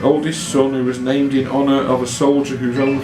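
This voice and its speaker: A man's voice: mid-range voice, flat delivery, moderate pace. A man hosting a live quiz, reading out questions and bantering with the players. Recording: echoey room with music.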